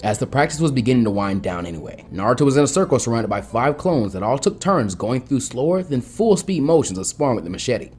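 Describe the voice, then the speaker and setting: A man reading a story aloud as narrator, in an animated scripted style. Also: mid-range voice, breathy edge.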